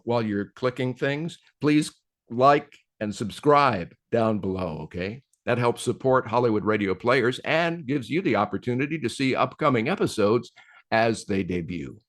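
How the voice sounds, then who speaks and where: A man giving a scripted introduction and charity appeal as presenter of a radio play series. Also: mid-range voice.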